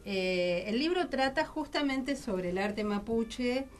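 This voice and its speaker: A woman's voice: a little high, animated, slow pace, slight background noise. An Argentine woman speaking as an interview guest, explaining things reflectively and conversationally. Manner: reflective, explanatory, self-posed questions. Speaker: guest author of a book on Mapuche art. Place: radio studio.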